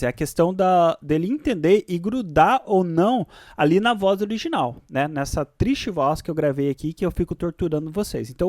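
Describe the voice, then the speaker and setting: A man with a Brazilian accent presenting a software tutorial, switching between read-out explanations and casual asides. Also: sits high, dry recording, clean studio sound.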